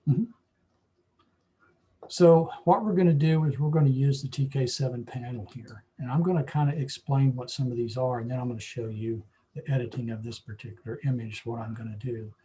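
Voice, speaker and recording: A hoarse man teaching a photo-editing lesson, explaining at an easy pace with lots of fillers. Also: mid-range voice, fairly quick, a little hiss or hum. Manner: relaxed, explanatory, with frequent fillers. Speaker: photography club instructor. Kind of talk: screen-share software tutorial.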